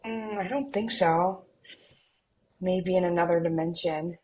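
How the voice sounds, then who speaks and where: An actress playing a sincere, sweet millennial twin sister in a romantic comedy script reading. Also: female voice, fairly low pitch, unhurried, a little mellow, close to an everyday voice. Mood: shy, flirty. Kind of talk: romcom table read acting.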